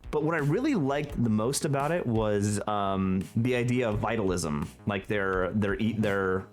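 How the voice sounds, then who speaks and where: A man's voice: fairly low pitch, measured pace, faint music behind. A man hosting a tabletop gaming show in a casual, conversational review chat.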